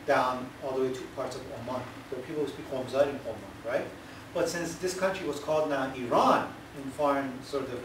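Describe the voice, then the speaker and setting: Man lecturing in an echoey hall. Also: mid-range voice. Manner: emphatic, asks "right?" for agreement. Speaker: history lecturer. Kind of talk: lecture Q&A answer. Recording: echoey hall, far-off, noisy.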